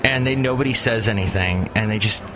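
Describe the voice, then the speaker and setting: A man's voice: fairly low pitch, a little fast. A man hosting a podcast, chatting casually and joking playfully with his co-host. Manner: playful, jokey, lively. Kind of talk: casual chat.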